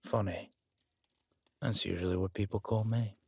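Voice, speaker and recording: Man acting a low, gravelly villain king. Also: fairly even intonation, dry recording. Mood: dryly amused. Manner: quiet, measured, understated menace. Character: menacing villain king, weary, dry-witted former torturer. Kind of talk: villain audio roleplay.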